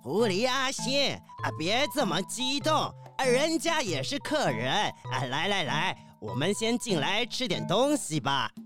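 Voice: medium pitch, sing-song, a little slow, hardly any pauses, music in the background. A performer voicing a friendly, chatty kiwi bird professor in a children's audio drama, full of interjections. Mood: welcoming, coaxing. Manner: chatty, peppered with interjections, welcoming. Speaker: university teacher character in children's detective audio drama.

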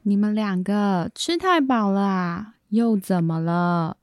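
Woman playing tired mom in a kids radio play, talks slow, smoothing things over. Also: medium pitch, no room sound, soft background music, lightly acted. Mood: exasperated. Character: mother refereeing her daughters, weary and placating. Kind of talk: children's radio drama acting.

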